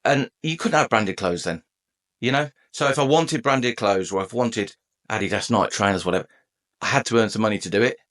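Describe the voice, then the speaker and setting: A man's voice: lowish voice, fairly quick, a bit bright. A man from England in his forties, a podcast guest telling his own story in a chatty, jokey way. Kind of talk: conversational storytelling.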